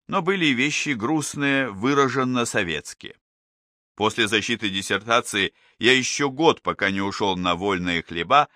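A man reading a first-person memoir aloud as an audiobook narrator, measured, with emphatic stresses. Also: medium pitch, bright, forceful tone, no echo, clean studio sound.

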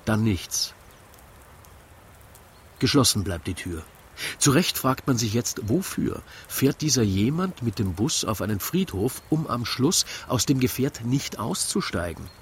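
Male radio-play narrator, Austrian. Slow, deliberate, theatrical verse with a dry wink, over clearly audible ambience. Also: mid-range voice, very animated, slight background noise. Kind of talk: scripted rhyming verse narration, storytelling.

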